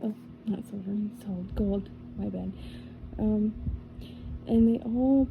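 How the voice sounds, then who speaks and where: A woman with a slightly high voice reads a fairy tale in an unhurried ASMR style, her words drawn out slowly. She sometimes drops to a whisper and corrects her own small slips. Clear background noise sits under the recording. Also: phone-quality sound. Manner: slow, careful reading with small slips and self-corrections. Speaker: ASMR reader. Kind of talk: soft ASMR storybook reading.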